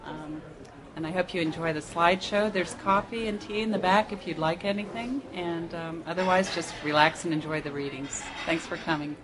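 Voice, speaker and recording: A woman hosting a live library event, giving an informal welcome introduction over clear background noise. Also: lowish voice, moderate pace. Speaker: event host. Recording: clearly noisy live room recording.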